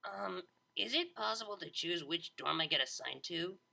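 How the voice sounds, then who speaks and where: A laid-back young unicorn mare acted by a woman in an audio drama, with a bright, slightly low female voice. She talks casually and a bit awkwardly, with a lively melody. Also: normal speed, gravelly, heavily acted.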